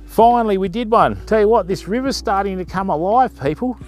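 A man's voice: high-pitched, animated, fairly quick, faint music behind. Chatty Australian man fishing on a river. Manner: chatty, self-talking, addresses viewers as people. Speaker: fishing live streamer. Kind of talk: casual live-stream commentary and tips. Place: outdoors on a river, kayak and bank. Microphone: action camera mounted on kayak.

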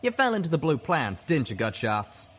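A man's voice: medium pitch, big pitch swings, fast, slight background noise, pitched above the performer's own voice, lightly acted. A man voicing a wry, exasperated and folksy pegasus gunslinger, battle-worn, in a story reading. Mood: wry, rising anger.

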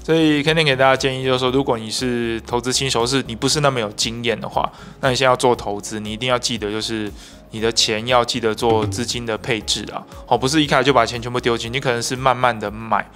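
Fast-talking Taiwanese host summing up. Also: male voice, medium pitch, steady tone, fairly bright tone, soft background music. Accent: Taiwanese Mandarin. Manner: fluent, explanatory, with sentence-final particles. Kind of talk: interview hosting, recapping for viewers. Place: bright office room. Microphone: handheld mic.

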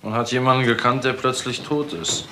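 An actor playing a gruff, suspicious, domineering father in a German crime radio play, curt and dismissive. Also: mid-range voice, brisk, lightly acted. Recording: roomy, faint noise and clicks.

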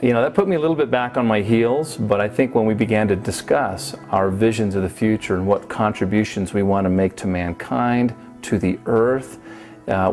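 Man in his forties, low voice, confident, interview in a wooden room, soft music behind. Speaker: company executive. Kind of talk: documentary interview. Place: indoors in a wood-paneled room.

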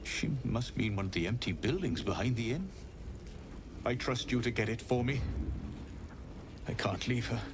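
An actor playing a grieving young husband who asks for help. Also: male voice, medium pitch, moderate pace, long pauses, background noise, natural voice. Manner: grieving, pleading.

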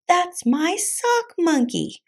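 A woman playing an excitable, boastful young male kitten adventurer in a storybook, exclaiming dramatically. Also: high-pitched, a little slow, husky, pitched above the performer's own voice, heavily acted. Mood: alarmed, excited. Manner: exclaiming, dramatic, mixing in Spanish words.

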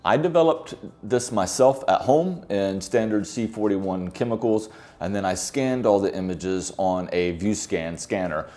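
A man's voice: fairly low pitch, expressive, measured pace, mellow. A man in his forties talking casually to camera as a vlogger, in a loose, conversational, improvised way, recorded indoors on a lapel mic. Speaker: film photography vlogger. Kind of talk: casual talk to camera, reviewing. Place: indoor living-room style office, seated on a sofa.

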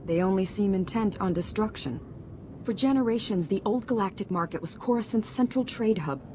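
A woman acts as a commanding game senator. She speaks in formal, authoritative phrases at an average pitch. A noticeable rumble sits behind her. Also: lively intonation, a little fast, mellow, natural voice. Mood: stern urgency. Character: stern, commanding female senator, pressed for time. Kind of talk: video game cutscene acting. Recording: noticeable rumbling background noise.

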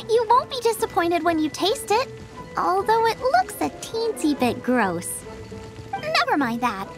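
An eager young girl chef from a video game, speaks in a very high voice. Her delivery is bubbly and confident, swinging widely in pitch, with music playing clearly behind her. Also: big pitch swings, measured pace, full character voice. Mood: enthusiastic. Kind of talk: animated video game dialogue.

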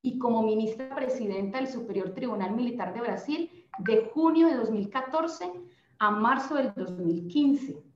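A woman's voice: a little high, expressive, moderate pace, darkish tone. A young adult woman with a Colombian accent, moderating a panel and introducing a guest over a video call. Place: office room, indoors. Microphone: laptop webcam on video call. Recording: echoey room, dull lo-fi sound.

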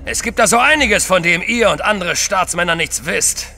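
An actor playing a fanatical traitor in an audio drama, boastful, menacing and theatrical. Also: male voice, high voice, normal speed, bright, forceful tone, big-room sound, soft background music. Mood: smug menace. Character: fanatical, sneering male villain and traitor. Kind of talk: German audio drama acting.

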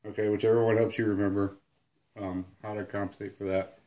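Husky-voiced guy in his thirties with a cold, low voice, casual self-deprecating teacher talking to a camera at home. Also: little pitch movement, brisk, dark tone, low-quality recording. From Illinois. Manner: rambling and self-deprecating, many fillers. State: hoarse from a cold, sniffling. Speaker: outdoors channel host. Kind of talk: casual whiteboard lesson. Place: small room at home, indoors.

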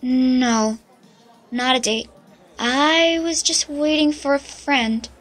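A woman playing a shy, very high young woman. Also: fairly even intonation, takes it slowly, a bit bright, faint music behind. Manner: hesitant, unsure.

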